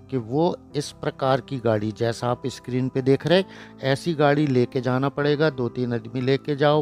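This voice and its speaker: A man hosting a poultry farming channel, narrating a voice-over with blunt, lecturing and sarcastic remarks about careless buyers. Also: medium pitch. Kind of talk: voice-over narration for a farm video. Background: soft background music.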